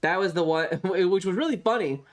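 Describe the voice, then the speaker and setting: Rambling delivery, casual stream chat, frequent swearing, emphatic repetition, medium pitch, background music. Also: moderate pace.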